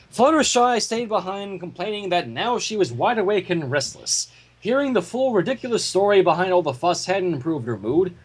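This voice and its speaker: Husky, high-voiced, animated male narrator. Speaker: narrator and fan fiction reader. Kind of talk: scripted story narration.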